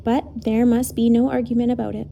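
A tired, kindly old professor speaks slowly and gently, as a woman reading the story puts him on. His voice is soft and mellow, close to the narrator's own, with a patient lecturing tone from a storybook. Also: medium pitch, lively intonation, highs cut off, a little hiss or hum, natural voice. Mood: weary. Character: weary, wise old male professor.

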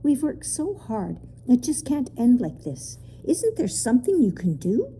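A woman's voice: medium pitch, big pitch swings, normal speed, dark tone, husky. A woman voicing an earnest, tearful little girl who pleads in a children's storybook. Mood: pleading. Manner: plaintive, pleading. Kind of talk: children's storybook character reading.

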